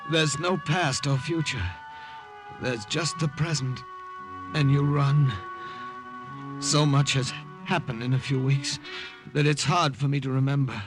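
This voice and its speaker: A man plays a hunted American engineer in an old radio play, desperate and bone-tired. He speaks slowly and haltingly in an American accent, with music clearly behind him. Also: mid-range voice, steady tone, close to an everyday voice. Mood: weary, haunted. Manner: halting, weary, pleading. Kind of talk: 1950 radio drama acting.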